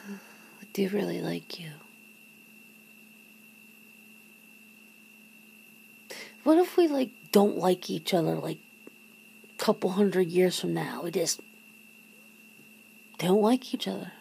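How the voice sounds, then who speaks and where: A woman playing a wary, wry human confronting a vampire lover in an ASMR roleplay, breathy and often slipping into a whisper, hesitant and casual. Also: lowish voice, animated, measured pace, pauses often, mellow, full character voice, poor audio. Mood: hesitant, pondering. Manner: hesitant, sardonic, casual, sighing. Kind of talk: ASMR roleplay, half-whispered one-sided dialogue. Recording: noticeable background noise and ambience.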